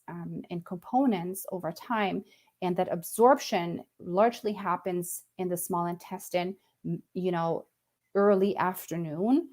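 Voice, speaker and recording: A middle-aged woman from Germany talks in a somewhat low voice as a nutritionist. She explains casually and thoughtfully, pausing on fillers and restarting her sentences as she goes. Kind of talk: casual educational talk to camera.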